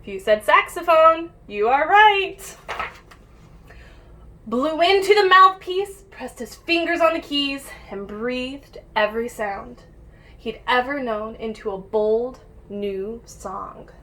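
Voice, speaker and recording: A woman's voice: high-pitched, lively intonation, slow pace, bright, forceful tone, slight background noise. A woman teacher reading a picture book aloud to young pupils, addressing them directly and encouragingly with questions and asides, on a home-made recording.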